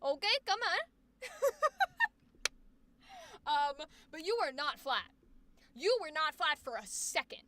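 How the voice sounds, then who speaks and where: Bright, bubbly woman streamer. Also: high-pitched, big pitch swings. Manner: effusive, exclamatory, quick asides. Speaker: streamer and voice acting coach. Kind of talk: live stream commentary on student recordings.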